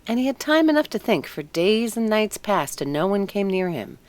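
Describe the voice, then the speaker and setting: A woman narrating a scripted storybook tale in an expressive, deliberate storytelling manner. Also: mid-range voice, noisy background. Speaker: audiobook narrator.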